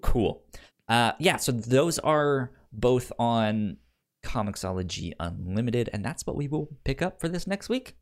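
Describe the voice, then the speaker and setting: A man's voice: medium pitch, lively intonation, a little slow. Man co-hosting a casual podcast, stumbling slightly. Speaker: podcast co-host. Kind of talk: casual pop culture podcast talk.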